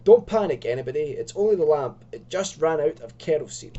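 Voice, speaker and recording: A man's voice: mid-range voice, big pitch swings, a little fast, warm tone, husky, lo-fi sound. A man voicing a brash young adventurer in a spooky story, speaking emphatically. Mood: reassuring.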